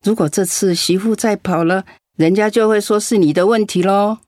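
A woman's voice: medium pitch, fairly even intonation, normal speed, a little mellow, dry recording, clean studio sound, natural voice. An actress playing a chatty, frank and caring elderly neighbour woman in a radio drama. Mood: frank advice.

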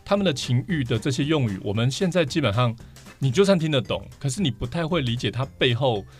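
A man's voice: mid-range voice, expressive, fast, breathy edge. A man with a Taiwanese Mandarin accent explaining things enthusiastically as a guest in a conversation. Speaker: podcast guest, vinyl collector. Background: background music.

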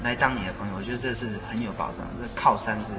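Fast-talking, lower-voiced earnest man over music. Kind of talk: casual faith discussion. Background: background music.

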